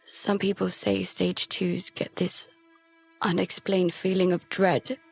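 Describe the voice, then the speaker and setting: An actress playing a terrified girl who narrates a survival horror audio drama in halting, fragmented phrases. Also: on the low side, little pitch movement, creaky, husky, soft background music, heavily acted. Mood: grim dread. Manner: halting, fragmented, hushed dread breaking into pleading.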